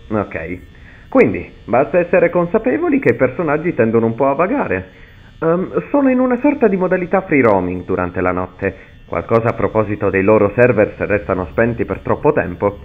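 Man acting a nervous guy on a tinny phone. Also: medium pitch. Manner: hesitant, rambling, casually reassuring. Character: nervous, falsely reassuring male night guard on phone message. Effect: telephone filter. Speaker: voice actor in a fan dub. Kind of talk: recorded phone message, game fan dub. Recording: tinny, narrow-band phone line.

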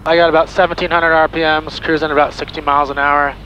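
A young man pilot talking through his approach and landing into a headset microphone in a small plane cockpit, excited and step by step. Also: on the high side, fast talker, rough recording. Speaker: pilot vlogger. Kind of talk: in-flight commentary. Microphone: aviation headset. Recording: engine noise under headset mic.

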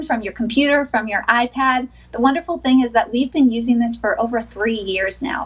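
Woman, 30s, slightly high, earnest and upbeat therapist guest, video call from home. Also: fairly bright tone. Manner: earnest, upbeat, laughs between points. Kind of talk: sponsored TV interview, remote. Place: small wood-paneled room at home.

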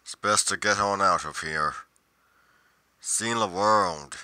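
A man playing a brooding, solitary monster investigator in a roleplay monologue, musing deliberately with pauses mid-sentence. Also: low voice, sharp tone, clear, resonant tone, no echo, heavily acted. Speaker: roleplay performer.

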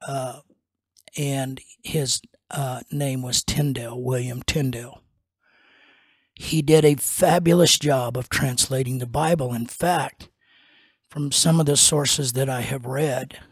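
Older man, pastor hosting a podcast, husky voice, dry close sound, rambling unscripted teaching. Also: medium pitch, no room sound. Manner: slow, rambling, many fillers and restarts. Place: small wood-paneled room indoors. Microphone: studio mic on a boom arm.

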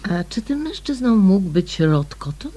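A woman's voice: mid-range voice, very animated, a little slow, dark tone. An actress playing a polite, inquisitive woman with a questioning manner in a radio play. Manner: polite, questioning, hesitant openings. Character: polite, inquisitive woman quietly probing for facts.

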